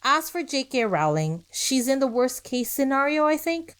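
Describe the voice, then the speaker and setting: A woman's voice: sits high, big pitch swings, moderate pace, fairly bright tone, smooth. Woman hosting a podcast, posing rhetorical questions. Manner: rhetorical questions and short emphatic phrases. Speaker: podcast host. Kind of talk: scripted podcast narration with conversational asides.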